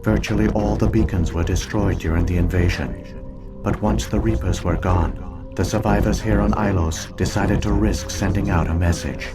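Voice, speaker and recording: Ancient alien computer mind for a sci-fi game male actor: very deep, solemn, formal, coldly logical, over prominent music. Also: fairly even intonation, natural voice. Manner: measured, formal, deliberate. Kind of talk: scripted video game voice acting, exposition.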